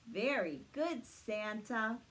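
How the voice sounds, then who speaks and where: A woman's voice: a little high, lively intonation, slow pace, fairly bright tone. A woman leading a read-aloud story time for young children, sing-song and encouraging, explaining things simply.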